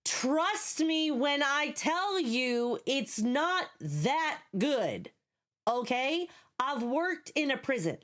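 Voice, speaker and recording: A woman's voice: high-pitched, big pitch swings, extremely slow, fairly bright tone. A young woman streaming live, talking casually to her viewers. Her voice is scratchy and sleepy, and she is blunt and sarcastic, swearing freely and repeating herself as she goes.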